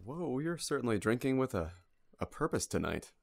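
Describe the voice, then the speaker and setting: An actor playing a polite, reserved stranger at a bar in an audio drama, speaking in a hesitant, slightly formal way. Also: male voice, mid-range voice, big pitch swings, moderate pace, creaky, a little hiss or hum.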